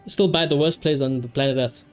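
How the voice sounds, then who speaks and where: A man's voice: medium pitch, fairly even intonation, a little fast. A man streaming a video game live, chatting casually and giving blunt opinions with plenty of swearing. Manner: sweary, opinionated, repeats phrases. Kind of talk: casual live gaming commentary and chat. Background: game music.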